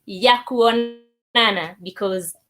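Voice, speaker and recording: Lady teaching a language, higher voice, really slow and repeats stuff. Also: fairly bright tone. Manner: deliberate, repeats words for learners. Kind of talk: language lesson, demonstrating greetings.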